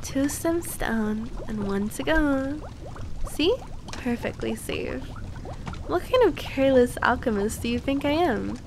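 A woman playing a cute, kind and teasing young alchemist girl in an ASMR roleplay, speaking softly and soothingly close to the mic. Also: high-pitched, lively intonation, gravelly, noisy background, exaggerated voice. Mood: reassuring, playful. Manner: soft, soothing, unhurried, playfully reassuring.

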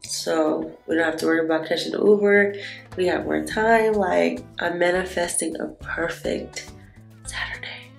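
A woman's voice: fairly low pitch, moderate pace, gravelly, soft background music. A woman in her thirties chatting casually to camera in a hotel room, teasing and telling stories with quoted asides. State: sniffly. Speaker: vlogger and mother. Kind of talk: casual vlog chat to camera.

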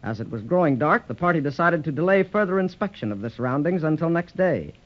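A muffled vintage radio recording carries a male narrator. His voice is slightly high, delivering scripted 1930s serial narration. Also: expressive, quick pace.

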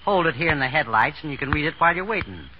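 An actor playing a slangy, cowardly small-time chiseler in a 1930s American radio drama. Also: male voice, fairly high pitch, expressive, racing, lightly acted. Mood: smug, sly. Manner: slangy, stammers when scared. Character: pushy small-time male chiseler, cowardly when threatened. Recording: dull, noisy 1930s radio recording.

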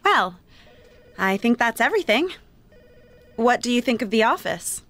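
A woman reading a scripted coursebook dialogue as a magazine colleague, clear and polite. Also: a little high, very animated, a little slow, fairly bright tone. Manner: clear, polite and matter-of-fact.

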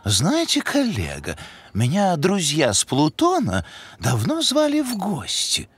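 A man voicing a pompous male elk scientist who lectures his friends in a lofty tone, over loud cartoon music. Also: on the high side, wildly swooping pitch, takes it slowly, husky, full character voice.

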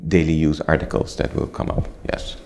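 A man with a very low, deep voice answers questions after a museum lecture. He explains things in a measured way and often pauses or restarts. He speaks into a lectern microphone in a darkened lecture hall. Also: level tone, darkish tone. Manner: measured, with frequent ums and restarts.

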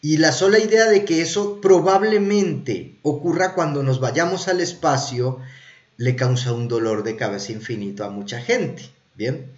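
Male streamer, thirties, fairly high voice, animated casual explainer, slightly dull audio. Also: moderate pace. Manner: conversational, animated, checks in with rhetorical tags. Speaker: streamer reviewing films and TV. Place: small indoor room. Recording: roomy, echoey, slightly dull sound.

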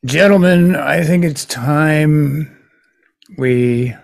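A man's voice: on the high side, drawn-out words, creaky, darkish tone, exaggerated voice. A man playing a blustering, exasperated old-school senator who grudgingly apologizes, in improvised comedy.

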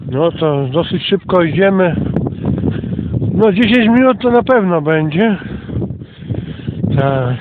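A high-pitched man with a congested cold narrates his outdoor walk in a casual, meandering, hesitant way. The sound is thin and phone-like, with loud noise all around him. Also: expressive, slow, pauses often. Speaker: host and narrator of an amateur outdoor audio recording. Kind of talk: casual rambling commentary while walking. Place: outdoors, walking along a rural path.

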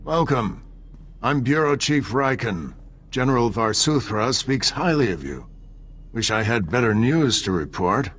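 Stubborn intelligence chief for a video game: lowish, terse, sober, businesslike. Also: male voice, fairly bright tone, breathy edge, full character voice. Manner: clipped, businesslike.

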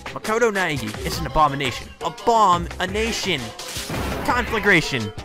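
Man doing a high-pitched, teasing villain bear. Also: sing-song, normal speed, music in the background. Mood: gleefully taunting. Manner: theatrical, teasing, pun-loving. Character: mischievous, taunting robotic teddy bear villain.

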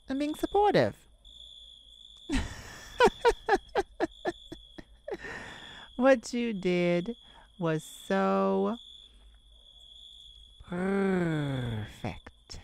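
Sassy, slow-talking cat lady, acted by a woman, very animated. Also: mid-range voice, a little hiss or hum, full character voice. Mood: playful praise. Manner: theatrical, teasing, drawn-out emphasis. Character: sassy, kind-hearted female cat-person friend, motherly scolding. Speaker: audio roleplay performer. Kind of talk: ASMR roleplay monologue to the listener.

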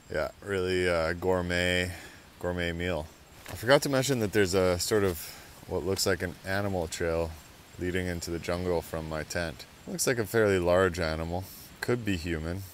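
A tired Canadian man in his thirties with a very low voice talks casually into a handheld camera on an outdoor beach. He sounds relaxed and a little self-mocking, with faint background noise. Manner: relaxed, chatty, self-mocking, with trailing fillers. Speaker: vlogger, long-distance sea kayaker. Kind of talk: casual travel vlog to camera. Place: outdoors on a jungle beach by the sea.

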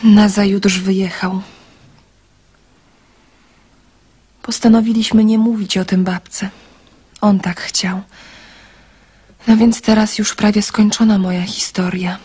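A woman's voice: medium pitch, little pitch movement, measured pace, dark tone, breathy edge. A woman playing a young lovesick girl in a radio drama, anxious and wistful, confiding her story with emotion. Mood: dejected.

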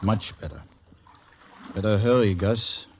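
An actor playing a soft-spoken, coaxing sailor with quiet menace in an old radio drama. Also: male voice, fairly low pitch, unhurried, close to an everyday voice. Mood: coaxing, calm menace. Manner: hushed, calm, coaxing. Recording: muffled, noisy old radio recording.

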